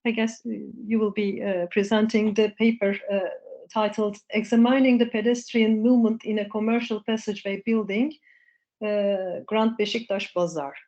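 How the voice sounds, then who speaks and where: A woman's voice: medium pitch, fairly even intonation, moderate pace, warm tone. A woman moderating an academic symposium session in a polite, tentative way, heard over a lo-fi online call. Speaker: session chair. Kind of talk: informal spoken moderating of a symposium.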